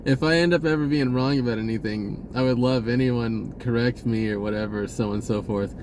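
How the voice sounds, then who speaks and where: A man speaks off the cuff in a mid-pitched voice, with clear ambient noise behind him. He rambles casually, as in a spiritual vlog. His delivery is halting and informal, often breaking off and starting over. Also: fairly even intonation, a little mellow, rough recording. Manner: halting, self-interrupting, informal. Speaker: spirituality vlogger.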